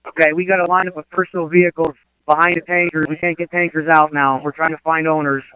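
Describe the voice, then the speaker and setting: Muffled radio, fire captain guy, pretty high voice, talks fast giving status. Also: flat delivery, sharp tone, clear, resonant tone, no room sound. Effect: two-way radio. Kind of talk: radio dispatch traffic, status reports.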